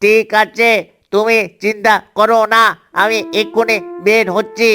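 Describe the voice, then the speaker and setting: Cartoon duck dad, male voice actor: protective, earnest, bright forceful tone, little melody, faint music. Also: high voice, completely flat delivery, a little slow. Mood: reassuring. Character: worried, protective father duck. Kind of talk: cartoon dialogue.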